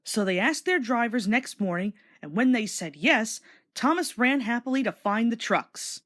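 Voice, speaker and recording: A woman narrates a children's storybook. Her voice sits fairly high and is very animated in pitch. The delivery is scripted read-along storytelling. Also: moderate pace.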